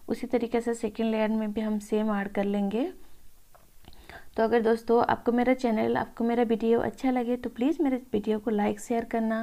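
Creaky-voiced woman giving an informal recipe tutorial. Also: a little high, mellow. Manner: addresses viewers as friends. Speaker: home cook presenting a recipe. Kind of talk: informal cooking tutorial voice-over.

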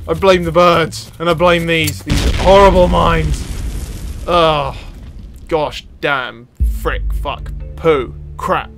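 A man's voice: fairly high pitch, steady tone, takes it slowly, a bit bright. A man hosting a gaming video with casual, jokey, self-mocking commentary, exclaiming and talking to the characters in the game. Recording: music playing behind the voice. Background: music.

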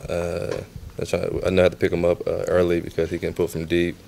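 A young man, a basketball player, giving low-key, halting post-game answers in a locker room, with many fillers. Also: completely flat delivery, vocal fry, a little mellow. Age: young adult.

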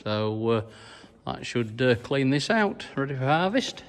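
A middle-aged man farmer giving a casual video diary, talking in a plain, unhurried way with many fillers, filmed on a phone held at arm's length. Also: mid-range voice, big pitch swings, in a large hall, a little hiss or hum. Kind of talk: casual farm vlog. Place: farm sheds and yard, indoors and outdoors.

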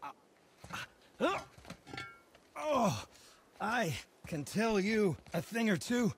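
A man's voice: high voice, big pitch swings, drawn-out words, slight background noise, heavily acted. A man playing a squeamish, easily wounded dwarf craftsman in a video game, with a fussy, stammering delivery. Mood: awkward, hurt. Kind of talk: cinematic video game acting.

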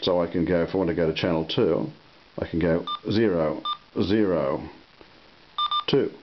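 A man giving a casual, unscripted product demonstration in a halting, unhurried way. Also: low-pitched. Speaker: product reviewer. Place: indoor room at a table. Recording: muffled lo-fi audio with hiss.

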